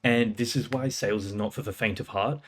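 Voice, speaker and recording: Punchy, confident young man, lowish voice. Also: steady tone, slightly rough. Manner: fast, punchy, confident delivery with slang. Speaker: music producer and sales coach. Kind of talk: casual talking-head advice.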